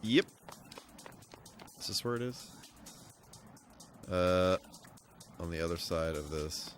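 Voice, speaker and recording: A man's voice: sits low, animated, slow pace, a little hiss or hum. A man doing casual live commentary as he streams a video game, rambling and thinking aloud as he goes. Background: music behind the voice.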